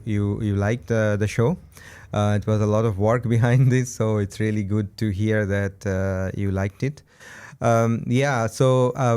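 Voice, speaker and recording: A man with an Indian accent and a smooth, clear voice at medium pitch. He answers as a podcast guest in a casual way, choosing his words in measured, slightly hesitant phrases. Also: a little mellow. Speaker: podcast guest, event co-founder.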